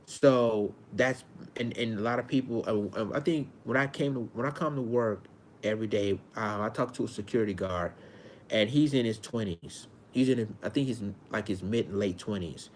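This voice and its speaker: A man in his forties from Arkansas with a high-pitched voice, talking earnestly to his viewers in a casual monologue, often repeating and restarting his phrases. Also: moderate pace, a little hiss or hum. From Arkansas, United States. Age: 40s. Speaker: vlogger.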